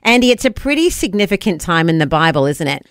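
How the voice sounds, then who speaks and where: A man's voice: fairly high pitch, big pitch swings, quick pace, fairly bright tone, smooth, no echo, studio quality. A man with a New Zealand accent co-hosting a radio Bible study, enthusiastic and reading scripture aloud. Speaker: radio co-host.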